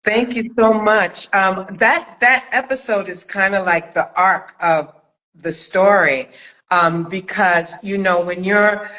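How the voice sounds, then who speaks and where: A woman hosting a casual interview chat, talking in an expressive, emphatic way. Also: mid-range voice, takes it slowly, poor audio. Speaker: host, acting coach and writer. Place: remote video call.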